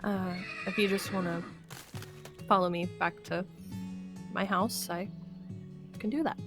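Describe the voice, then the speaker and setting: A woman playing a hesitant, hopeful young apocalypse survivor in an improvised game roleplay, speaking haltingly and trailing off. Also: mid-range voice, fairly even intonation, over music, natural voice. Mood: hopeful, tentative.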